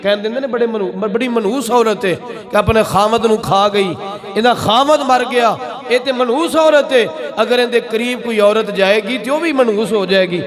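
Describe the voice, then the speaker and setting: High-pitched Punjabi preacher, man with crowd behind. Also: moderate pace. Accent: Pakistani Punjabi. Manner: emphatic, rhetorical repetition and questions. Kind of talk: sermon.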